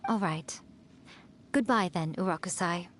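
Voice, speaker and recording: A woman's voice: lowish voice, measured pace, a little hiss or hum, lightly acted. A woman voicing a sly, self-assured fox spirit shrine maiden in a video game, teasing and poised. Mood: breezy, fond.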